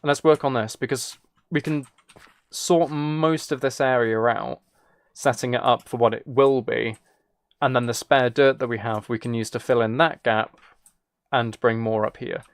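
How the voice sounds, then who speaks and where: A man's voice: mid-range voice, steady tone, moderate pace, no room sound. A man chatting casually while he plays a game, thinking aloud in a rambling, playfully self-mocking way. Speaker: streamer.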